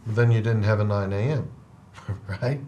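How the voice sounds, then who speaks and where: A man's voice: on the low side, normal speed, dark tone, lo-fi sound, slight background noise. A middle-aged man hosting a trucking podcast in a studio, speaking plainly from a trucker's point of view. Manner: plainspoken, trucker's perspective, frequent rhetorical "right?". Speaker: podcast host and trucking company owner. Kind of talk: casual interview chat. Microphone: over-ear headphones with desk mic.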